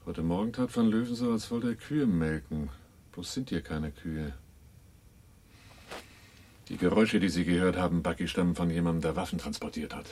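A man's voice: deep voice, moderate pace, long pauses, darkish tone. An actor in a German radio drama playing a wary male fugitive boss who is always on guard. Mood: tense, deliberate. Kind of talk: German radio drama acting and first-person narration.

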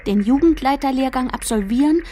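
An earnest teenage girl, driven to discipline herself and full of romantic ambition. Her female voice is fairly high, speaking as an inner voice in a literary radio drama. Soft music plays underneath. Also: expressive, fairly quick. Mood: resolute.

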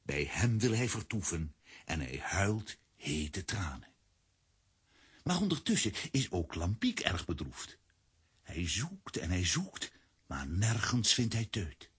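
A man narrating a children's radio play in an expressive storytelling manner. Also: lowish voice, slow pace, husky.

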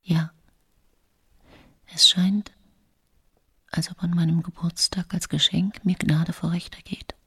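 An actress playing a secretive, fearful middle-aged woman accused of poisoning, in a husky whispered radio drama monologue. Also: on the low side, flat delivery, takes it slowly, long pauses, dry recording, clean studio sound, full character voice. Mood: hushed, resigned.

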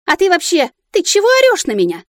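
A woman voicing a sharp-tongued, bossy young heroine in a fantasy audiobook, exclamatory and theatrical. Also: mid-range voice, sing-song, normal speed, no echo, clean studio sound. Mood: angry. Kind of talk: audiobook character acting.

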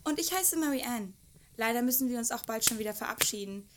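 Woman as a sweet, high-voiced schoolgirl. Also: animated, fast talker, noisy background, natural voice. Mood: warm, polite. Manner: courteous, bright-spirited. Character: sweet, cheerful, well-mannered boarding-school girl. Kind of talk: German radio-play acting.

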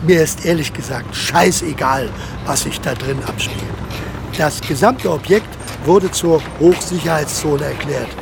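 Curt army colonel with creaky voice. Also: male voice, fairly high pitch, a little slow, noisy background. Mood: scornful. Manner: curt, authoritative, clipped orders. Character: cold, commanding army colonel guarding a quarantine zone. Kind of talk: modern German radio drama acting.

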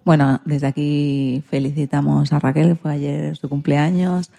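Low, creaky, very mellow woman host. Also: level tone, a little slow. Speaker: radio programme host. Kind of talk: hosting a radio magazine programme.

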